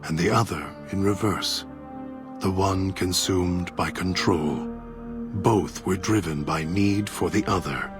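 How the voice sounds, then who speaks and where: Brooding epilogue narrator for a game, really deep and slow, solemn. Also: male voice. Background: music.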